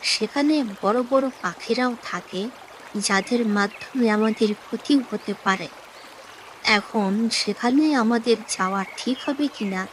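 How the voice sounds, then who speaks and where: A woman's voice: on the high side, slight background noise, natural voice, low-quality recording. A woman voicing a cautious, thoughtful myna bird in a children's cartoon.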